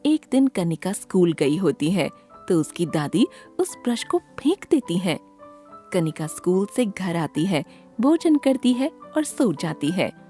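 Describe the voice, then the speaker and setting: Female storyteller, scripted children's tale, expressive swooping pitch, husky tone, soft music behind.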